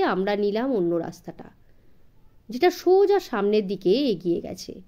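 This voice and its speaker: A woman's voice: fairly high pitch, a little mellow. A woman, narrating a story expressively as an audiobook for children.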